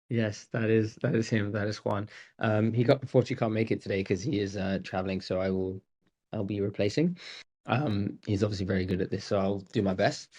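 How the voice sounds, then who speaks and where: A man's voice: lowish voice, brisk, husky. A man hosting a community call, talking casually with frequent laughs and restarts. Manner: relaxed, chuckling, hesitant restarts. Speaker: host. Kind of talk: casual chat. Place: video call.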